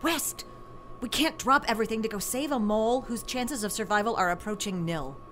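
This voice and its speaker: A woman's voice: on the high side, a little fast, a bit bright, a little hiss or hum, natural voice. A woman playing a haughty, coldly sarcastic scientist in an audio drama, clipped, formal and imperious. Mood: scornful.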